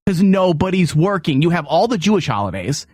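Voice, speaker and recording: A man's voice: a little high, very animated, fairly quick, a little mellow, dry recording, clean studio sound. A man hosting a casual comedic radio chat, blunt and self-deprecating in his joking. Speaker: show host. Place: radio studio.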